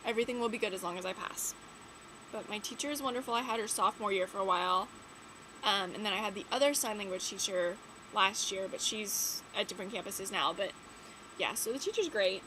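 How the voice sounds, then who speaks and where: Teen girl vlogger, stuffy from a cold, rambling filler-heavy chat, mid pitch, noisy room. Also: a little fast, sharp tone. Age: late teens. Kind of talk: casual chat to camera. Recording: audible background noise.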